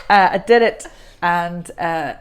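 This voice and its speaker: A woman, a voice coach chatting as a podcast guest, self-deprecating and quick to laugh. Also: fairly low pitch. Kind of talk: casual podcast conversation.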